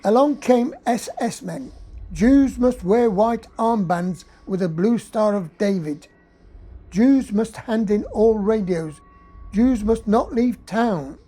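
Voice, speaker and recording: A woman with a husky voice giving a quiet, grave first-person reading of a testimony. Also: fairly high pitch, animated, takes it slowly. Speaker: reader of a survivor's testimony. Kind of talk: read testimony.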